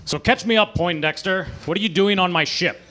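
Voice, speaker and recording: A man's voice: high voice, fairly quick, noisy background, lightly acted. A man playing a vain, dim-witted space captain with brash, boastful swagger in a sci-fi comedy radio play.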